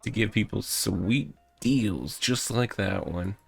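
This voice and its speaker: Man voicing a slow, rambling cardboard-box mascot guy. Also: fairly low pitch, vocal fry, husky, heavily acted. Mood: upbeat, rambling. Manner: rambling, halting, earnest and boastful. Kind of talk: comedic character read-aloud of a visual novel. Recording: music behind the voice.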